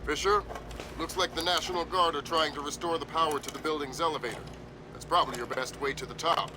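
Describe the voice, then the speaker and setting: Spy-agency handler for a game cutscene, male actor: crisp orders, authoritative, fairly high male pitch. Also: fairly even intonation, noisy background, close to an everyday voice. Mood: focused, concerned. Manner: brisk, commanding, businesslike. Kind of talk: video game cutscene acting.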